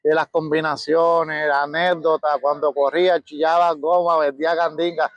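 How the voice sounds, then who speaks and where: Puerto rican host guy, high voice, all hyped and thankful, dry close sound. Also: level tone, normal speed, no room sound, muffled recording. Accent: Puerto Rican Spanish. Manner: enthusiastic, grateful. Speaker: interviewer, car channel host. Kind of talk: casual interview. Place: outdoors at a beach park car event.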